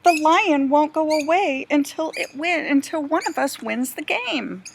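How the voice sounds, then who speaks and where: Storybook big sister, voiced by a woman: young, high voice, firm, sensible, takes charge. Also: lively intonation, measured pace, vocal fry, very noisy, exaggerated voice. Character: sensible, bossy young girl, determined sister. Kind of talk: storybook read-aloud.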